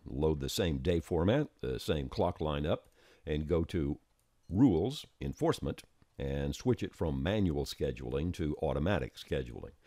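A man with a very low, mellow voice walks the listener through software step by step. His tutorial narration is measured, with lively rises and falls in pitch, and it sounds close to the mic. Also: no echo. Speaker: software developer giving a tutorial. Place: close-miked dry voice-over.

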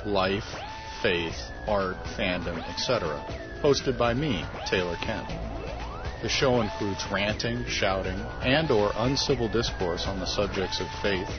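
A man with a fairly low voice reads a tongue-in-cheek scripted podcast promo. Loud music plays behind him, and the sound is somewhat dull. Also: a little slow. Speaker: podcast host.

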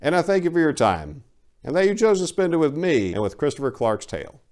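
A man in his forties hosting a theatrical dramatic reading in a mock-serious, expressive way, on a lapel mic indoors. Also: on the high side, brisk. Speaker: storyteller and host. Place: small indoor room lined with bookshelves.

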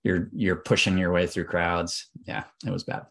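A man in his forties with a low voice talks casually over a video call. He is halting, working out his thoughts aloud as he goes. He sounds like a panelist in an informal discussion. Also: little pitch movement, a bit bright. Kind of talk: casual chat. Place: indoor room, group video call.

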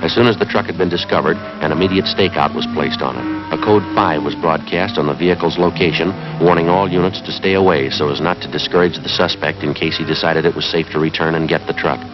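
A man's voice: sits low, a little fast, close to an everyday voice. An actor in his thirties with an American accent playing a terse, stoic police detective, narrating in a clipped, matter-of-fact way. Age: 30s. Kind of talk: 1950s police drama narration. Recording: dull 1950s TV soundtrack, music underneath.

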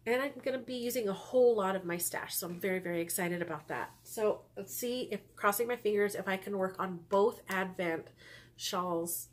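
Stuffy-nosed woman chatting about knitting. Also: mid-range voice. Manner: chatty, rambling, frequent ums and laughs. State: stuffy nose. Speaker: knitting podcast host. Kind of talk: casual chat to camera. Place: small room indoors at home.

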